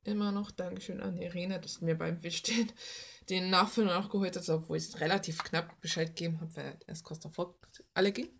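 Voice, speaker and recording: A woman's voice: on the low side, fairly even intonation, a little fast, gravelly. A young adult woman rambling casually in an informal, playful, slangy way, like someone showing her hobby on a vlog. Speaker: hobby artist and video maker.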